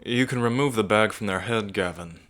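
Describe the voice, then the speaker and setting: A man's voice: on the low side, normal speed, a bit bright, lightly acted. A man playing a smug, menacing evil prince in an ASMR roleplay, mocking and condescending, drawling like a theatrical villain. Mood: cold command.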